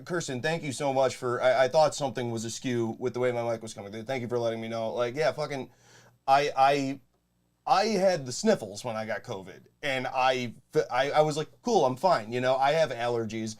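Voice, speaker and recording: A man's voice: medium pitch, brisk. A man with a nasal voice and a Rochester, New York accent, co-hosting in blunt, profane casual chat. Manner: swears freely, blunt and joking. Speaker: podcast co-host.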